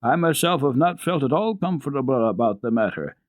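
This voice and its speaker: A man's voice: mid-range voice, lively intonation, brisk, no room sound, clean studio sound, pitched above the performer's own voice, natural voice. A man voicing an earnest, frank male servant in a storybook reading. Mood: earnest denial. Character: indignant, frank male servant denying blame.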